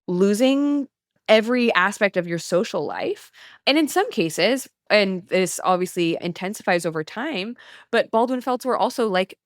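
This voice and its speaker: A woman's voice: mid-range voice, dry recording, studio quality. A woman hosting a history podcast, explaining earnestly in casual chat with frequent "like"s. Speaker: podcast host.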